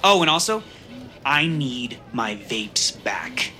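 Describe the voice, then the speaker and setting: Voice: mid-range voice, very animated, slow, pushed and bright, full character voice. An actor playing a smug, demanding, whiny young office boss in a scripted audio drama, dramatic and exasperated.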